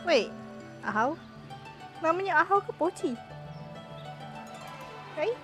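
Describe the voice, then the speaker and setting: Female game streamer, casual, unhurried, switches between English and Malay, loud music behind. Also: very high-pitched, lively intonation. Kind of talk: casual livestream gameplay commentary.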